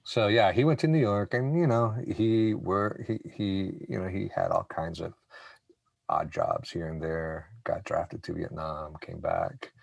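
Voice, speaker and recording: A man's voice: sits low, animated, unhurried, creaky, no room sound. A man in his forties from Puerto Rico, a podcast guest telling stories in a casual, candid, informal way. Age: 40s. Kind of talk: casual conversational storytelling.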